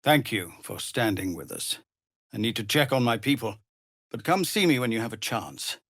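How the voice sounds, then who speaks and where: An actor playing a weary, honourable tiefling ex-paladin leading refugees in a fantasy game, husky and breathy, with grave, measured, formal delivery. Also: male voice, mid-range voice, big pitch swings, fairly bright tone, no echo, clean studio sound. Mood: weary, grateful. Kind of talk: dramatic fantasy game voice acting.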